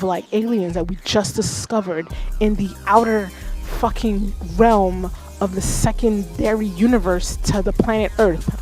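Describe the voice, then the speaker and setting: A woman's voice: mid-range voice, darkish tone. A young woman with a Haitian accent, hosting a livestream and giving casual, rambling feedback on submitted tracks, swearing freely and laughing often. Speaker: streamer reviewing submitted music.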